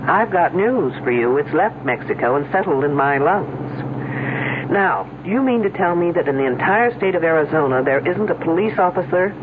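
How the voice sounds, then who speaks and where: An actress playing a sharp-tongued, impatient woman detective from the city, sarcastic and bossy, in a 1970s radio drama. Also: deep voice, expressive, moderate pace. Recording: lo-fi phone-band radio recording with hiss.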